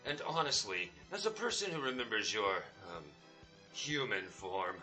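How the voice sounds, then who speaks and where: A man's voice: fairly low pitch, very animated, normal speed, pushed and bright, faint music behind, exaggerated voice, poor audio. A man playing a self-pitying, sheepish space captain in a comic sci-fi radio play, with wry, hammy delivery. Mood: sheepish, resigned.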